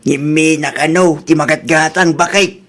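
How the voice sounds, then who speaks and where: A man's voice: a little high, fairly even intonation, normal speed, fairly bright tone, natural voice. An Ilocano-accented male voice as a man acts out an older villager. He is plain-spoken, in the style of a homely comedy drama. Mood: matter-of-fact.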